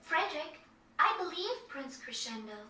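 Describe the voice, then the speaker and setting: A woman acting a gentle, loyal young woman in a video game, polite and earnest. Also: sits high, sing-song, moderate pace, exaggerated voice. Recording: echoey, distant, over faint game music.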